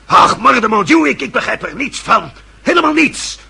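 An actor playing a hot-tempered French count who blusters and sputters theatrically in a period radio drama, with a gravelly, raspy voice. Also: male voice, very high-pitched, very animated, normal speed, bright, forceful tone, exaggerated voice. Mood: bewildered. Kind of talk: radio drama acting in period costume piece.

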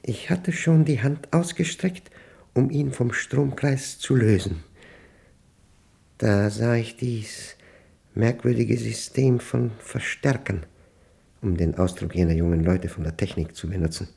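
An actor playing an aging, introspective, solitary man in a radio play monologue, speaking in halting, deliberate phrases with a husky voice. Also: on the low side, dark tone, heavily acted. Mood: troubled, intense. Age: older. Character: aging, solitary man brooding over a humming machine.

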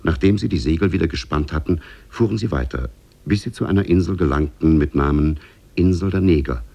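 Really deep croaky storyteller guy, 50s radio fairy tale, husky and deliberate. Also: mellow, full character voice. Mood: narrating. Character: male storyteller of an Arabian Nights tale. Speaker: narrator. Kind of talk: scripted storybook narration in a 1950s radio play.

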